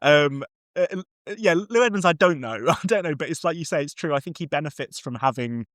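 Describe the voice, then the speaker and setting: A man's voice: on the high side, animated, a little fast, a bit bright, dry recording, clean studio sound. A man chatting casually as a podcast host about film and TV, enthusiastic, rambling and prone to swearing.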